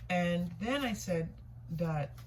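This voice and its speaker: A woman's voice: on the low side, expressive, takes it slowly, a little mellow. Woman reading tarot over noticeable background noise. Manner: conversational and informal, repeats herself, muses and questions herself. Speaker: tarot reader hosting a reading. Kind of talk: casual intuitive card reading, thinking aloud. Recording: clear background noise, room ambience.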